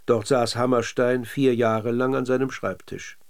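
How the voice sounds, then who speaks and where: A man narrating scripted documentary radio in a measured, factual manner. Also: mid-range voice, level tone, clean tone.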